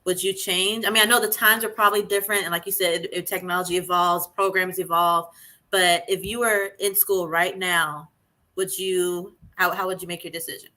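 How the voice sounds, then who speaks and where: A woman hosting an interview in a chatty, casual way, hesitating often and filling pauses with ums and you-knows. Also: on the low side, steady tone, pushed and bright. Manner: chatty, hesitant with many fillers. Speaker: host.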